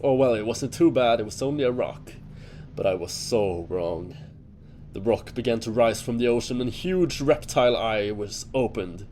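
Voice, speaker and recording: A man playing a self-deprecating seafaring monster hunter who tells his tale in a deliberate, theatrical way in an audio drama. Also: fairly low pitch, background noise, close to an everyday voice. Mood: tense recounting. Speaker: character telling a campfire tale in an audio drama. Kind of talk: scripted dramatic storytelling. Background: music under the voice.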